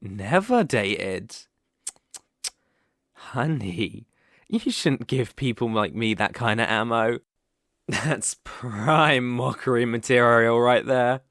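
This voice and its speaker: A man's voice: mid-range voice, slow, a bit bright, no room sound. Man acting a cocky British college bully, close-up. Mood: amused teasing. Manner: teasing, condescending, swaggering. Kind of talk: ASMR roleplay acting.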